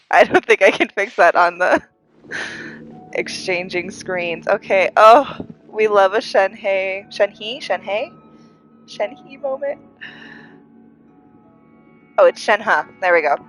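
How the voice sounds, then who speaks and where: A woman's voice: medium pitch, slow, pauses often, a bit bright, poor audio. A young woman with a congested voice chatting casually and gushing as she streams a game. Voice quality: congested, audibly breathy intakes. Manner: giggly, gushing fan. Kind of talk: casual live gameplay chat. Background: faint game music.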